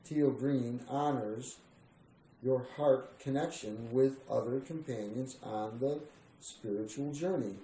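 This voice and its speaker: Male reader for spiritual text, slow and meditative delivery, roomy sound with slight background noise. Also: medium pitch, warm tone. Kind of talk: slow meditative scripted reading.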